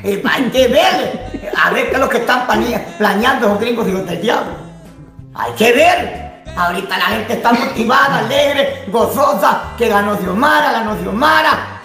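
An older man from El Salvador arguing emphatically in a casual on-camera interview, animated and gesturing. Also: extremely high pitch, normal speed. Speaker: self-styled apostle. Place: small indoor room. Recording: echoey room with faint music.

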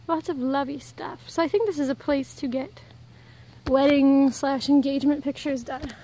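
Young female vlogger, 20s, high voice, chatty and informal, noisy roadside phone audio. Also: fairly even intonation, normal speed, dark tone. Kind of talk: casual vlog chat to camera. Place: outdoors on a rural roadside. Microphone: handheld phone at arm's length. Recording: noisy outdoor audio with ambience and traffic.

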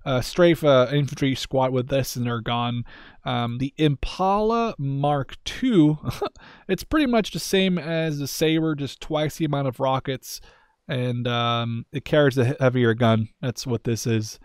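A man's voice: mid-range voice, unhurried, clean tone, no echo, clean studio sound. A man hosting a gaming video with casual, rambling and self-deprecating commentary in an informal style.

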